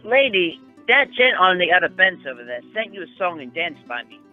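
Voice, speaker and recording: Through a tinny band, a man plays an eager street urchin in a radio play. The voice is very high and swoops about, brash and full of slang. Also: sing-song, a little fast. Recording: tinny narrow-band audio, faint music.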